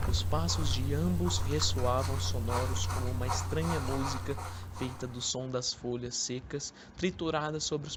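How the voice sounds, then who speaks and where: A young man reading scripted literary narration for an amateur radio drama. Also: mid-range voice. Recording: noisy amateur recording.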